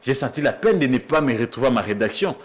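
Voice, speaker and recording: A man with a Congolese accent and a mid-range voice speaks as a guest in an animated political debate. He argues emphatically, like a journalist making his case, and repeats phrases to press his point. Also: mid-range voice, fast talker.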